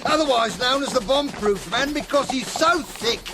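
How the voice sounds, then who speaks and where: High-pitched bantering army sergeant. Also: male voice, expressive, normal speed, very noisy. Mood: boisterous, bantering. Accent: English working-class. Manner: bantering, colloquial. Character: cheeky, boisterous British army sergeant introducing his riflemen. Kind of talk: radio drama acting.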